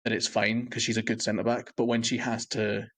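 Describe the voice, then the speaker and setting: A man's voice: lowish voice, little pitch movement, a little fast, fairly bright tone, slight background noise. A man co-hosting a sports podcast, chatting casually about football in an analytical, matter-of-fact way.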